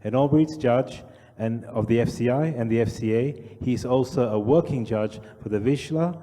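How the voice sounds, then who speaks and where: A man's voice: medium pitch, normal speed, warm tone. A man reading a formal introduction of the next speaker at a conference. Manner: reads a formal introduction, list-like. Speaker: conference presenter. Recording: long hall reverb.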